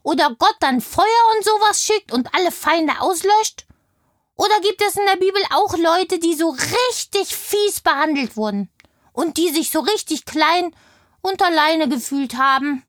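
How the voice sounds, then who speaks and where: A woman's voice: very high-pitched, expressive, unhurried, a bit bright, no room sound, clean studio sound, exaggerated voice. A woman playing a chatty, indignant kindergarten girl in a children's audio play, telling things in childlike everyday words. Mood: curious. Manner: childlike, colloquial storytelling.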